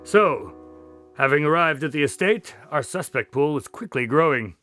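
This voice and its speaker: A man playing an earnest, polite doctor and detective's partner in a radio drama, measured in manner. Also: a little high, lively intonation, bright, forceful tone, soft background music. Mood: recounting.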